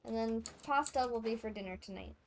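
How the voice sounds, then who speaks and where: High-pitched American woman streaming casually. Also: fairly quick, slight background noise. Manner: chatty, slangy. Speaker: game streamer. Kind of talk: casual livestream chat with viewers.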